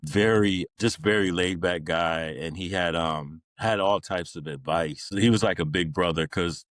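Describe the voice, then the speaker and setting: Middle aged guy w a deep voice chatting on a podcast, informal kinda rambly, no room echo. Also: fairly bright tone, studio quality. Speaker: podcast guest, Navy veteran. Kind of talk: casual conversational storytelling.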